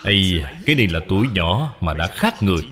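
A man's voice: low-pitched, big pitch swings, quick pace, a bit bright. Casting: male actor as dignified middle-aged cartoon father, courteous, firm, formal; reverberant track with faint music. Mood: genial. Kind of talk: dubbed children's moral cartoon. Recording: long hall reverb, music behind.

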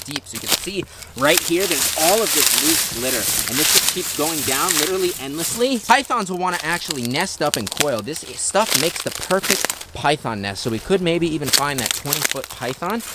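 A young man filming an excited outdoor wildlife vlog by old railway tracks, talking straight to viewers. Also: fairly high pitch, moderate pace, heavy background noise. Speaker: wildlife vlogger.

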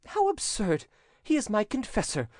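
Narrator doing a gushy young girl in a storybook, high and swoopy, exclaims everything. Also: huge pitch swings, normal speed, a little mellow, no echo, clean studio sound. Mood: excited, eager. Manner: gushing, exclaiming, impulsive. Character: excitable, chattering young Italian girl in a crowd.

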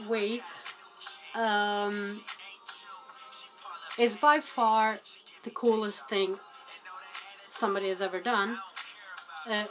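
Young woman in her twenties, webcam vlog from a small dim room, music clearly behind her, rambling and self-deprecating with many ums. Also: mid-range voice, rough recording. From Albania. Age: 20s. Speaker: guest vlogger. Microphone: webcam at close range.

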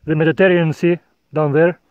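A man in his thirties gives an unhurried walk-and-talk tour of his farm, filming himself on a handheld phone. He talks in plain, non-native phrasing and chuckles often. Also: on the high side, level tone, no echo, narrow, tinny audio. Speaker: farmer vlogger. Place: outdoors on a hillside farm and inside a bird shed. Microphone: phone camera at arm's length.

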